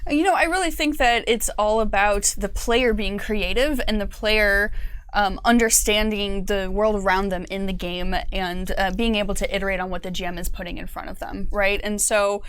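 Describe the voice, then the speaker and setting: Woman in her 30s on a game studio panel, lots of ums, lapel mic, mid-pitched. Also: fairly even intonation, moderate pace, fairly bright tone, clear, resonant tone, no echo. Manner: explanatory, with many fillers. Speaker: game designer. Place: small studio with curtain backdrop.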